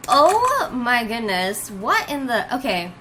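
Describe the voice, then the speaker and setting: A woman giving a casual, gushing reaction, rambling and exclaiming in drawn-out bursts. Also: on the high side, big pitch swings, sharp tone, a little hiss or hum. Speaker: host of a reaction video. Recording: music playing behind the voice. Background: music from the video being watched.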